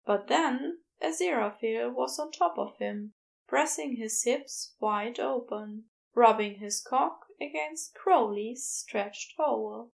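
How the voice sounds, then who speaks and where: A woman's voice: a little high, takes it slowly, creaky. A woman reading a piece of fiction aloud as scripted audiobook narration. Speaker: fan fiction audiobook reader.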